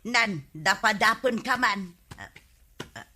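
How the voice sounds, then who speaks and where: A woman's voice: medium pitch, expressive, slow, pushed and bright, slight background noise, full character voice. A woman with an Ilocano accent playing a nagging but caring village wife in a radio comedy drama, scolding and exclaiming.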